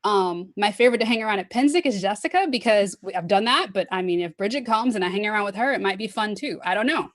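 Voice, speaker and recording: A woman's voice: mid-range voice, animated, fast talker, few pauses, no room sound, studio quality. A woman guest in a casual chat, gushing and chatty.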